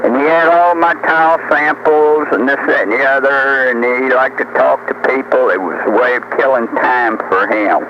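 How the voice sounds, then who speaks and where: A man's voice: mid-range voice, moderate pace, few pauses. An older man in a rambling, folksy, boastful chat over CB radio, often throwing out rhetorical questions. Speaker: storyteller on CB radio. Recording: noisy muffled CB radio tape.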